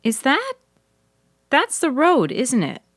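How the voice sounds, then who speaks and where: A woman's voice: high-pitched, huge pitch swings, takes it slowly, slightly rough, no room sound, clean studio sound, pitched above the performer's own voice, exaggerated voice. A woman voicing an earnest, easily stung young woman who loves nature, in a fiction podcast. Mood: earnest, eager. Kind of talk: audio fiction character dialogue.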